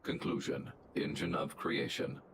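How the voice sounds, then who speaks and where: A massive game robot speaks in an extremely low, unvarying voice. It is cold and analytical, issuing clipped statements through a robotic filter, with clear noise around it. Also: male voice, fairly even intonation, measured pace, fairly bright tone. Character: huge cold logical male guardian robot.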